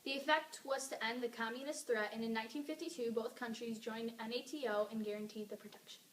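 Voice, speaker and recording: Bright young woman reading news, distant mic. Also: fairly high pitch, fairly even intonation, fairly quick. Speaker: newscast anchor in a history project. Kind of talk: scripted amateur newscast reading. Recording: distant mic with faint noise.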